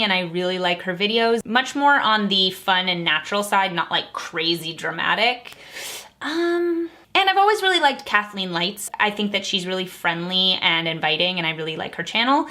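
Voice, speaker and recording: Young female vlogger, bright and clear tone, upbeat conversational delivery, quick laughs between answers. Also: medium pitch. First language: English. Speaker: vlogger answering viewer questions. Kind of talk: casual chat to camera.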